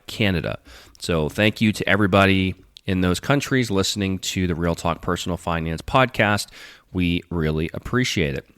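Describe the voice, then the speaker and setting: A man's voice: deep voice, fairly bright tone, clear, resonant tone, no echo, studio quality. A man hosting his podcast alone, reading announcements in a casual, rambling way while slightly stuffed up from a runny nose. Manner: conversational, rambling announcements with fillers. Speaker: podcast host. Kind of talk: casual solo podcast talk.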